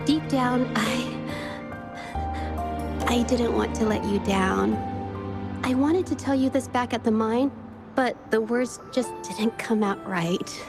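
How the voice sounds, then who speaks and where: A woman playing a gentle, earnest young woman painter in a video game, speaking softly and sincerely. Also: on the high side, takes it slowly. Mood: heartfelt, reflective. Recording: music behind the voice. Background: background music.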